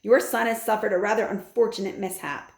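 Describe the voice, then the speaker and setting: Measured old grandma read by a woman, slightly gravelly, echoey room. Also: medium pitch, fairly even intonation, close to an everyday voice. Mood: firm. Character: calm, dignified old grandmother, patient and firm.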